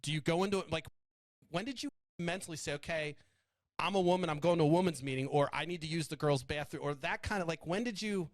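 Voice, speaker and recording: A man's voice: on the high side, fast, no echo, studio quality. A man hosting a casual interview, asking curious questions and sometimes searching for his words. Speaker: podcast host.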